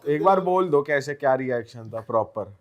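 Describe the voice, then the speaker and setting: A man's voice: mid-range voice, animated, normal speed, muffled recording. A man hosting a podcast in a casual chatty way, giving short questions and reactions. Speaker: podcast host.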